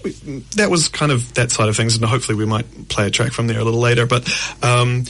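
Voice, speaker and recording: A man's voice: mid-range voice, fast talker, a bit bright. A man in his thirties, a guest musician on a radio show, telling rambling stories and laughing often. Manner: rambling storyteller, laughs often, quotes others. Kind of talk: casual radio interview.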